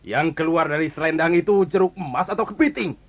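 A man's voice: a little high, a little fast. A man playing a haughty, wrathful king in an audio fairy tale, imperious and commanding. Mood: angry, commanding.

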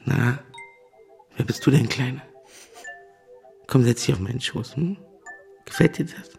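A young man in a radio play, with heavy fry in a middling-pitched voice. He sounds casual with a slight menace, over faint music. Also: measured pace, a little mellow. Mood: evasive, oily. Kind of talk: radio drama acting.

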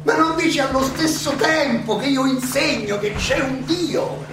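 Echoey, high-voiced old philosopher. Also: male voice. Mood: insistent, challenging. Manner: rhetorical, halting then insistent, questioning. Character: elderly Socrates, indignant, ironic Athenian philosopher defending himself. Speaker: stage actor. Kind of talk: theatrical courtroom monologue. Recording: echoey, distant, noisy live stage recording.

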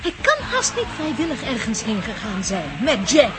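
A woman's voice: a little high, wildly swooping pitch, normal speed. An actress playing an anxious, exasperated woman in a radio drama, arguing emphatically and repeating herself. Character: anxious, exasperated woman, quick to argue and speculate.

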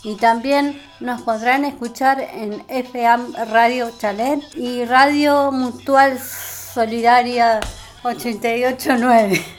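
A woman's voice: a little high, steady tone, slow pace, faint music behind. An Argentine woman presenting a community radio show as host in a scripted introduction.

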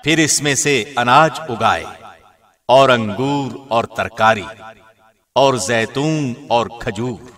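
A man reading a scripture translation as a scripted narration, in a measured, formal way. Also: medium pitch, a bit bright. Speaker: translation narrator, radio host.

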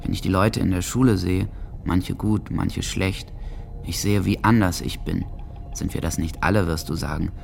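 A man's voice: low-pitched, fairly even intonation, moderate pace, vocal fry, darkish tone, soft background music. An actor playing an alienated, hopeless teenage boy in an introspective, melancholy radio drama monologue. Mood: bleak, brooding. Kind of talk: German radio docudrama acting, diary monologue.

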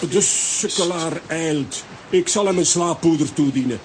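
A man playing a bumbling, self-important comic-strip hero in a children's audio drama, fussy and excitable. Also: on the high side, measured pace, lightly acted. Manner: fussy, excitable, repeats himself. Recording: noisy audio with rain behind.

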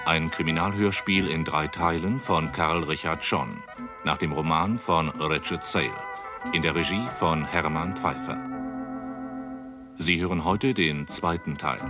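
A man's voice: a bass voice, measured pace. A man making a formal scripted announcement for a radio play. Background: music with guitar.

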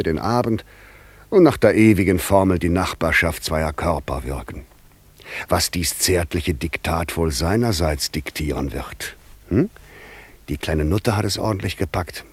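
An actor playing a mocking, worldly devil in serpent form, husky and insinuating, in a theatrical radio play. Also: male voice, sits low, no echo, heavily acted. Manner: sardonic, insinuating.

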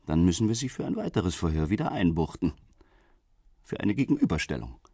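A government official in a radio crime drama. His very low voice rises and dips expressively, close and dry. He is measured and polite, with a veiled threat in his phrasing. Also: very animated, warm tone, breathy edge, dry recording, full character voice. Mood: veiled menace. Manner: measured, insinuating politeness with rhetorical questions.

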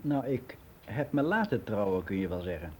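Older man giving frank, matter-of-fact radio play monologue; soft dark tone, slightly noisy recording. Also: on the low side, sing-song, moderate pace. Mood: matter-of-fact, reminiscing. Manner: matter-of-fact, rambling, candid.

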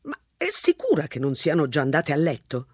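A reader voicing a flustered, anxious man who pleads politely, in a husky voice. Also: mid-range voice, wildly swooping pitch, brisk, no echo, clean studio sound. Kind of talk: audiobook narration.

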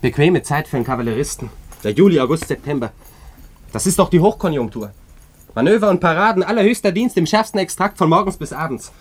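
An actor playing a proud, awkward young cavalry lieutenant in a German radio drama, indignant and politely stubborn. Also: male voice, a little high, lively intonation, fast. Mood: ironic, indignant.

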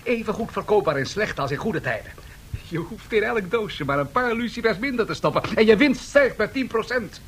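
An actor playing a confident, ambitious industrialist in a radio drama, speaking in a measured, persuasive and formal way. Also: male voice, expressive, fairly bright tone. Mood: expansive, ambitious. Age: 30s. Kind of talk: radio play acting. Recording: faint noise and rumble, 1970s radio.

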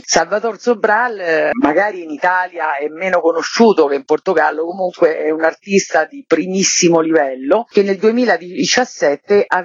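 A woman speaks as an artistic director in a radio interview. She explains in a spontaneous, slightly halting way. The recording is dry and somewhat dull, with little room sound. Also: low voice, darkish tone, slightly rough, no room sound.

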